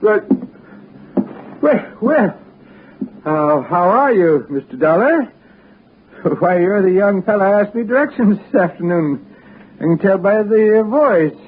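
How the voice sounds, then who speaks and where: An actor playing a sly, folksy old American small-town uncle, hesitant and drawling, in 1960s radio drama style. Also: male voice, high-pitched, animated, a little slow, long pauses, husky, full character voice. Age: older. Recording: tinny 1960s radio broadcast.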